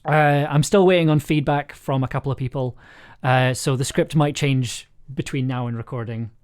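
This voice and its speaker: A man with a mid-pitched voice chats casually as the host of a livestream. He is effusive and enthusiastic, and he rambles from one thought to the next. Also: fairly even intonation, moderate pace, darkish tone, clean tone. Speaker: host, audio drama writer and producer. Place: remote online call on a livestream.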